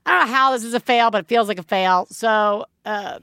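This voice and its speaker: A woman's voice: fairly high pitch, fairly quick, sharp tone, clear, resonant tone, no echo, studio quality. A woman in her thirties, a podcast co-host chatting casually in a playful, teasing, emphatic way. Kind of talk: casual chat.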